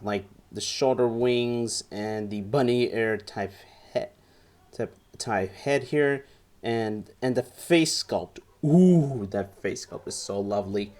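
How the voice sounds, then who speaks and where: A man's voice: mid-range voice, darkish tone. A young man giving a casual, enthusiastic toy review to camera at home, often repeating words as he goes. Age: young adult. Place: small furnished room at home, indoors.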